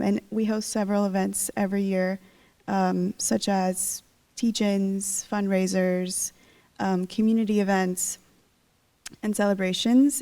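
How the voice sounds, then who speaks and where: A woman's voice: medium pitch, distant mic. A young woman, a senior student and peer advisor, introducing herself on a panel with frequent "um"s. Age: young adult. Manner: steady, frequent "um".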